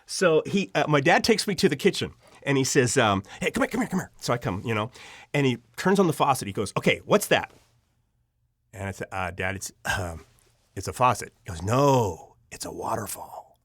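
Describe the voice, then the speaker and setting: A middle-aged American man speaks as a podcast guest with a very lively, swooping pitch. He tells his own stories conversationally and slips into quoted dialogue as he goes. The recording is close and dry. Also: normal speed, husky, no echo. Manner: acts out remembered dialogue, conversational. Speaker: podcast guest, audio drama writer. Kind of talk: animated personal storytelling in an interview.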